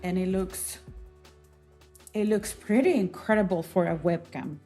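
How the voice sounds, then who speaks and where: A woman's voice: on the low side, measured pace, dark tone. A woman from Colombia co-hosting a kitchen video, reviewing cameras in an upbeat, emphatic way. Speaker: co-host. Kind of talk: casual product review. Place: home kitchen. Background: background music.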